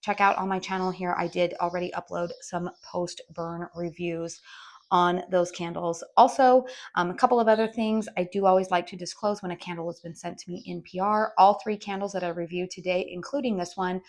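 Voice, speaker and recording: A woman with a raspy voice from a head cold, casually reviewing a candle outdoors in a chatty way with lots of ums. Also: fairly low pitch, fairly even intonation, fairly quick. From US West Coast. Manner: chatty, frequent ums and restarts. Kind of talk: casual product review vlog. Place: outdoors with birdsong.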